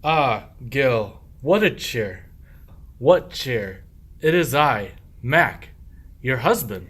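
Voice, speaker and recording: Sly, weary henpecked husband played by a man, slow theatrical verse delivery, scheming comic rogue for radio play. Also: mid-range voice, expressive, fairly bright tone, slightly rough, heavily acted. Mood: blustering, conspiratorial. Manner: theatrical, declaiming rhymed verse. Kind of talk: verse radio play acting of a medieval comedy.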